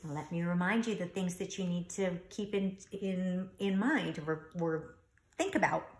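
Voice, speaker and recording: A woman reading a children's story aloud like a teacher, expressively, pausing to comment and instruct. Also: lowish voice.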